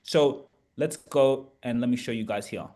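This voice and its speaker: A young Australian man in a casual vlog, telling a story with lively, repeated emphasis as a property coach. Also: mid-range voice, measured pace. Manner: animated, repeats words for emphasis.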